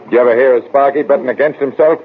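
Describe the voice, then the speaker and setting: Man acting a raspy, blunt ball-club manager. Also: mid-range voice, very fast, lightly acted. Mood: dry, frank. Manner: slangy, wry. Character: defensive, blunt baseball team manager. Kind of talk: 1940s radio drama acting. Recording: tinny old radio broadcast.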